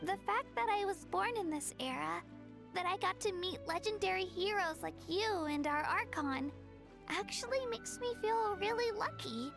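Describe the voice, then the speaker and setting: A young girl in a video game, with a very high voice that has some creak in it. She is gentle and earnest, encouraging others in a soft-hearted, slightly hesitant way. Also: animated, normal speed, music in the background, exaggerated voice. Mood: hopeful.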